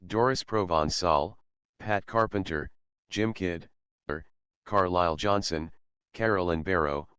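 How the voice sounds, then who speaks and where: A man narrates from a script in a low, clean voice. His delivery is that of a read historical account. The recording is dry and somewhat muffled. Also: fairly bright tone, smooth, no room sound. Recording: dry but dull, lo-fi sound.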